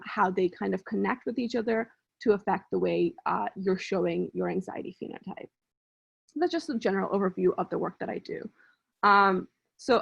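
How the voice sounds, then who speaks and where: A woman's voice: medium pitch, creaky, slightly rough. Young woman from Bangladesh, conversational explainer. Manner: conversational and explanatory, walks through ideas step by step. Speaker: research scientist telling her story. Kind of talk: personal storytelling talk. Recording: slightly muffled lo-fi recording.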